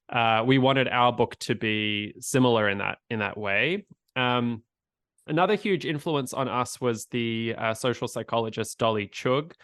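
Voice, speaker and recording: A man in his thirties with an Australian accent, answering interview questions in a measured, explanatory way. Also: mid-range voice, a bit bright, clear, resonant tone, dry recording, clean studio sound. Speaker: guest author.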